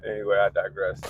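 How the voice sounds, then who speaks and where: A man's voice: fairly low pitch, dull audio, background noise. A congested man hosting his vlog, talking in a loose, profane, laughing rant from inside his car. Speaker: vlogger host. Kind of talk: casual profane commentary.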